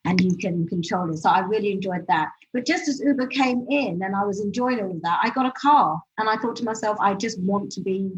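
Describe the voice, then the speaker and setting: A woman's voice: medium pitch, fairly quick, few pauses, slight background noise. Chatty British-accented woman, lo-fi sound. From Nigeria. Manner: chatty storytelling, laughs easily. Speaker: podcast guest. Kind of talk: casual interview conversation.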